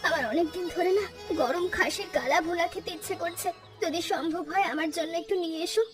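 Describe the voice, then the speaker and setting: A woman as high-voiced ailing village wife. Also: fairly quick, over music. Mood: plaintive. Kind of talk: Bengali cartoon story dialogue.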